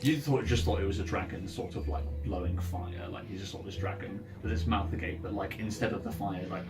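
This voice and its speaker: A man running a tabletop game, narrating off the cuff in a halting, thinking-aloud way. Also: sits low. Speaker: game master. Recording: music playing behind. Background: ambient music.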